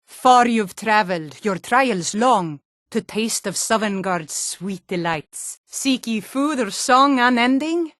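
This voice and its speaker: A woman's voice: on the high side, animated, slow, few pauses, a bit bright, no echo, studio quality. A woman playing a proud, hearty Nord warrior in a fantasy game, declaiming solemnly in lofty, archaic, bardic phrases.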